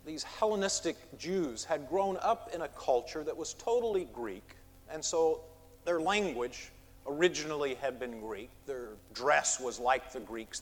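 A man preaching an expository sermon from a pulpit, measured, with emphatic rises on key words. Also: on the high side, long reverb tail. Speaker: pastor. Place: church sanctuary.

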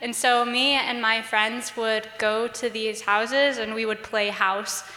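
A young woman from Bosnia and Herzegovina talks on a student panel, earnest and upbeat. Her voice sits a little high and sounds bright. The sound echoes with a long reverb around a large hall. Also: steady tone, slow pace. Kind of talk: casual panel talk.

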